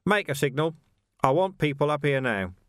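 A hard-bitten male police commander who growls out short, stern commands and gives no ground, as the male reader plays him. The voice stays close to the reader's own but lifts with tension, and the delivery swings with lively intonation. Dry, close recording. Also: a little high, normal speed, smooth, dry recording, voice pushed up. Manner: growling, curt. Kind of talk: audiobook character voice.

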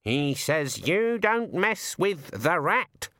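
A man's voice: a little high, sing-song, takes it slowly, pushed and bright, dry recording, studio quality, voice pushed up, exaggerated voice. Man voicing a sardonic talking raven. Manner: raised, sardonic and chatty.

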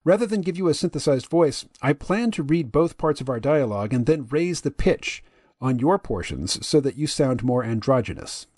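A deep-voiced man hosting a podcast, reading his side of a dialogue in a measured, analytical way. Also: lively intonation, darkish tone, no room sound, clean studio sound. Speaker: podcast host.

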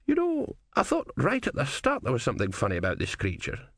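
A man voicing a shrewd, excitable old male philosopher who gambles, in a high wheedling voice. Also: fairly quick, no echo, clean studio sound, exaggerated voice. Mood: wondering. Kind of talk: audiobook character voice.

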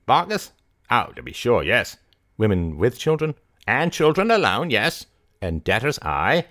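A man's voice: medium pitch, sing-song, measured pace, a bit bright, no echo, clean studio sound, pitched above the performer's own voice. A man voicing a rustic, muddled, servile asylum keeper in an audiobook, stammering in folksy dialect. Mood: sly, obliging.

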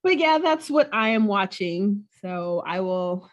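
A woman chatting on a podcast in a relaxed, easy way, with a voice at an ordinary middle pitch. She laughs easily as she talks. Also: a little slow, highs cut off. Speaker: podcast co-host. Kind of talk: casual chat.

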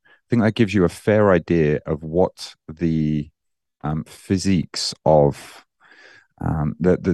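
A man with a Birmingham accent, a guest coach in a casual podcast interview, talking thoughtfully with frequent pauses and fillers. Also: low-pitched, a little mellow, no echo. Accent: Birmingham English. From England. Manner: thoughtful, many fillers and restarts. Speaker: guest, nutrition and training coach.

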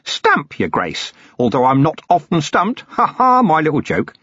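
A man's voice: on the high side, wildly swooping pitch, unhurried, a bit bright, slightly rough, no echo, pitched above the performer's own voice, full character voice. A man voicing a cheerful, talkative old male hermit who rambles eagerly and deferentially. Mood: eager chatter. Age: older. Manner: eager, rambling, deferential, chuckling at his own jokes. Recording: dull, dry, lo-fi recording.

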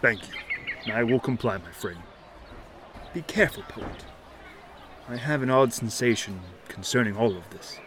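An actor playing a kindly, fretful shantytown mayor with florid, old-fashioned speech in a noir audio drama. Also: male voice, mid-range voice, a little slow, long pauses, fairly bright tone, a little hiss or hum, lightly acted. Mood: concerned. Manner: florid formal old-fashioned wording, stammers when worried.

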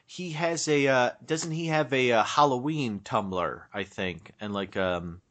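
A man's voice: medium pitch, unhurried, fairly bright tone. A man co-hosting a casual podcast, slightly stuffed up, rambling and self-deprecating with frequent restarts. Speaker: podcast co-host.

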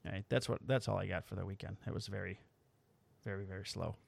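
A man's voice: low-pitched, steady tone, quick pace, vocal fry, breathy edge. A man who is a police officer and podcast host, telling a work story in a blunt, wry way with plenty of swearing. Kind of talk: casual storytelling.

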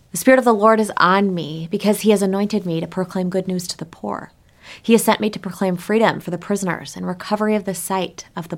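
A woman pastor giving a prepared but conversational sermon, speaking directly to her listeners and posing rhetorical questions. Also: lowish voice.

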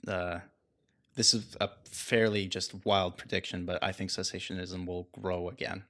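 A man with a low voice co-hosting a casual faith podcast. He talks in a halting, thoughtful way, searching for his words and agreeing readily with the others. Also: little pitch movement, a bit bright. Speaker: podcast co-host. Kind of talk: casual discussion.

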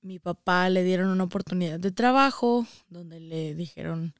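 A woman's voice: lowish voice, steady tone, normal speed, clean tone, dry recording, clean studio sound. A young woman with a northern Mexican accent, chatting casually as an interview guest about her own experiences. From Saltillo, Mexico, and the United States. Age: young adult.

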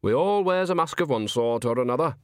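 A man's voice: medium pitch, lively intonation, brisk, clean tone, no echo, studio quality, lightly acted. A man voicing a chatty, homely, cheeky old woman witch in an audiobook, folksy and rambling. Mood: musing. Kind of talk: audiobook character acting.

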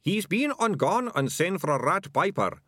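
A man's voice: high-pitched, big pitch swings, moderate pace, a bit bright, no echo, studio quality, pitched above the performer's own voice, heavily acted. A man voicing a cheerful, jeering male thug sidekick, gleeful and taunting, in a storybook reading.

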